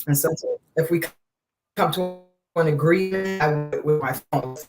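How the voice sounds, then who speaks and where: A woman guest in an interview who speaks calmly and softly, often filling her speech with "you know". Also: sits low, moderate pace, over loud music. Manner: soft, calm, leans on "you know" fillers. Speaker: guest, wellness coach. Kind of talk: casual interview conversation.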